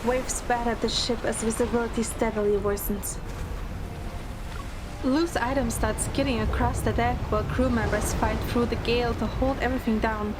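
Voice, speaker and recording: A woman's voice: a little high, fairly even intonation, normal speed, background noise, close to an everyday voice. A woman playing a devoted young heroine in love, narrating an audio drama in the first person with earnest, dramatic delivery. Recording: loud music bed under the voice. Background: loud music.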